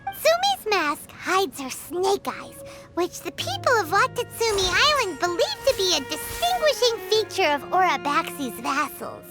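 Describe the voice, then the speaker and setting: A woman voicing a tiny, chirpy, childlike fairy companion in a video game, with an excitable manner. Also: extremely high pitch, unhurried, full character voice. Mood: explaining, upbeat. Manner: speaks of self in third person, excitable. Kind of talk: video game character dialogue. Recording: loud music over the voice.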